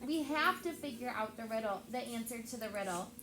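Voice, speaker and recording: A woman's voice: on the high side, lively intonation, a little fast. A woman reading a storybook as an anxious, exasperated young boy who scolds with urgency. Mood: urgent, frustrated. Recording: echoey room with loud background noise.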